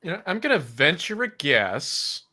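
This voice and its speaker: A man's voice: on the high side, sing-song, moderate pace, pushed and bright, breathy edge. A man chatting casually as a broadcast sidekick. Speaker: co-host guessing at trivia. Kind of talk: casual chat.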